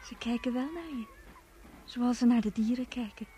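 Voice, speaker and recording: An actress playing a gentle, withdrawn, curious young woman, speaking simply and intimately in a radio play. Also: fairly high pitch, normal speed, slight background noise, natural voice. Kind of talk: Dutch radio play acting.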